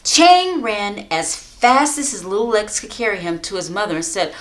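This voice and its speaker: A woman's voice: medium pitch, lively intonation, normal speed, fairly bright tone, roomy sound. A woman reading a children's picture book aloud as a teacher, with chatty asides to young listeners. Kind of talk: storybook read-aloud.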